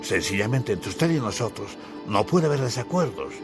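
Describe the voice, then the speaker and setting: A man's voice: mid-range voice, very animated, moderate pace, over music. A man from Bolivia narrating a literary audiobook in a solemn, theatrical storytelling manner. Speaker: author and narrator.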